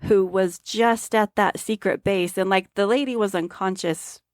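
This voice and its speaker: A woman's voice: mid-range voice, fairly even intonation, unhurried, no room sound, clean studio sound. A woman co-hosting a podcast, recapping a movie in casual chat with wry remarks. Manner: chatty, wry remarks, agreeing often. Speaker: podcast co-host.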